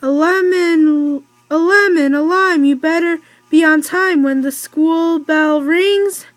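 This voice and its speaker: A silly talking storybook bird, as a girl reader puts him on, chirpy and high in pitch. He chants cheerful rhymes in a playful sing-song. The sound is dry and close. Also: takes it slowly, no room sound. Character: cheerful male talking pet bird, silly rhyming singer.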